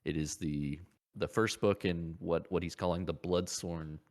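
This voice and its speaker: A man's voice: low voice, steady tone, a little slow. A man co-hosting a podcast, talking in an informal, conversational way. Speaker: podcast co-host. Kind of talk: casual chat.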